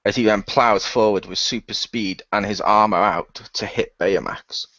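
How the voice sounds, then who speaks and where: A man's voice: fairly low pitch, normal speed, a bit bright, dry recording. A man narrating action in an improvised, amateur radio play and slipping into character lines. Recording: dull lo-fi voice recording.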